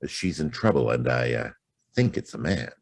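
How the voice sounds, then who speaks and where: Gravelly, very deep hard-boiled detective. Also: male voice, very animated, normal speed, dry recording, studio quality, heavily acted. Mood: smooth, coaxing. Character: hard-boiled world-weary male private detective. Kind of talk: noir radio drama narration and dialogue.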